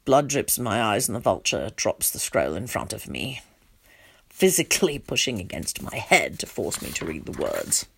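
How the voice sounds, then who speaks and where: A woman voicing a shaken, distraught father, narrating in a hushed, halting and tense way. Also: very deep voice, wildly swooping pitch, creaky, slightly rough, voice pushed down, exaggerated voice. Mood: numb, shaken.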